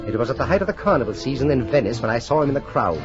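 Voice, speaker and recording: An actor playing a vengeful nobleman with a falsely friendly, smoothly polite manner in 1940s radio drama style. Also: medium pitch, big pitch swings, very fast, natural voice. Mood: coldly confiding. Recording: muffled 1940s radio recording, music behind.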